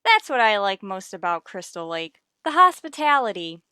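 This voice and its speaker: An actress playing a wry, wisecracking English teacher in a vintage radio comedy, delivering sardonic, deadpan quips. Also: female voice, mid-range voice, normal speed, clear, resonant tone, no room sound, clean studio sound. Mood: sarcastic.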